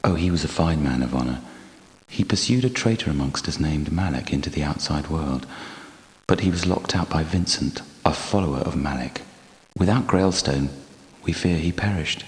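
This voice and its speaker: An actor playing a grave, courteous guardian knight and temple architect in an adventure game, speaking in a formal, archaic, measured way. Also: male voice, very deep voice. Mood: guarded, solemn. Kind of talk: scripted adventure game character dialogue. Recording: echoey hall with long reverb.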